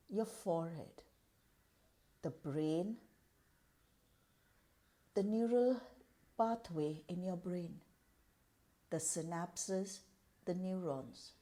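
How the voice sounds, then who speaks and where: A woman leading a guided meditation in a calm, measured, deliberate way, pausing between short phrases. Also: mid-range voice.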